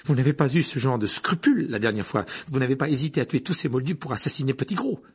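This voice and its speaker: A man voicing an angry, vengeful thirteen-year-old boy who shouts in a strained voice. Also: mid-range voice, fast, husky, lightly acted. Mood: furious.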